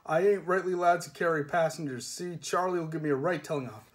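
A man's voice: on the high side, fast talker, lightly acted. A man voicing a timid, babbling cart driver with nervous, wheezy, uneducated speech, in a storybook reading.

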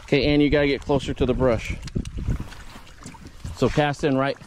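A man's voice: mid-range voice, steady tone, moderate pace, slight background noise. A man hosting a fishing vlog, talking casually and folksily to viewers from an open boat on a lake. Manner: folksy, addresses viewers as y'all and guys. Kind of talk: casual chat while fishing, talking to camera.

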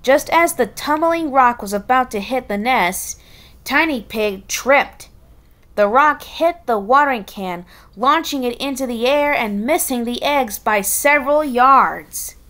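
A woman reading a children's story aloud in an expressive, halting way, with casual chatty asides. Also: high voice. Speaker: storybook reader.

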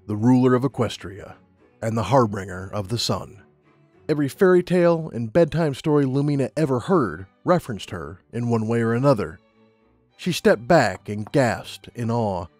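A man's voice: mid-range voice, darkish tone, dry recording. A man reading scripted audiobook narration as the narrator of a story.

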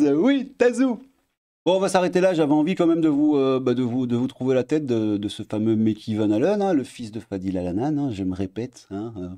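A man in his thirties with a Belgian French accent, talking in a casual, sarcastic commentary vlog from his home desk and jumping into high mocking tones. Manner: sarcastic, animated, swings pitch up for mock emphasis. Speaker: YouTuber commentator.

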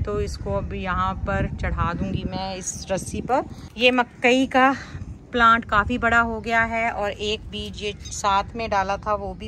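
A woman's voice: a little high, a bit bright, a little hiss or hum. A woman casually narrating a garden tour as a vlogger.

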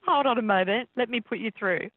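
A woman's voice: on the high side, a little fast, dry recording. A woman working as a receptionist, handling a customer call. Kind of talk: telephone customer service. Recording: narrow, tinny phone line.

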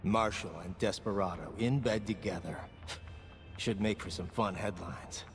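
An actor playing a grim, determined cyborg soldier in a video game, terse and intense. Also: male voice, fairly low pitch, a little slow, long reverb tail. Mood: dry, grim. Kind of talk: video game cutscene acting.

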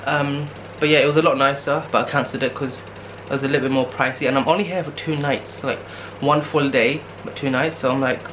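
A young man in his twenties films himself on a handheld camera and rambles in a loose, casual way. He is worn out with a sore throat, and his voice sits at an ordinary middle pitch. Clear room and street noise runs behind him. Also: steady tone, fast talker, few pauses. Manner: rambling, tired, talks to camera in fillers. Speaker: travel vlogger. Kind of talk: casual solo travel vlog. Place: guesthouse room indoors, later noisy narrow street at night. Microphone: camera held at arm's length.

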